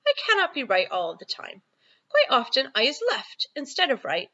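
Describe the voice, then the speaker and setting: Woman voicing an animated storybook giant, comically muddled grammar. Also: sits high, normal speed, muffled recording, voice pushed up, exaggerated voice. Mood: earnest, defensive. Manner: mangled grammar and invented comic words. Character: friendly, boastful, muddle-worded giant, male, from a storybook.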